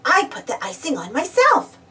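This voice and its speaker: A woman voicing an excited, eager young female puppy in a children's storybook. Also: high voice, moderate pace, far from the mic, pitched above the performer's own voice, exaggerated voice.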